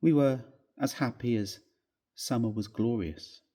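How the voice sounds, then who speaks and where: A man with a husky voice reading a story aloud in a measured, expressive, theatrical way. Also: mid-range voice. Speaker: reader of a classic ghost story.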